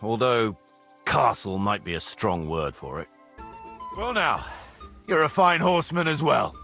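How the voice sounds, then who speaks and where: A man playing a blunt, mocking, foul-mouthed medieval mercenary commander in a video game, with a husky, forceful voice over music. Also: mid-range voice, very animated, a little slow, heavily acted. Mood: sardonic, warming. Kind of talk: video game cutscene acting.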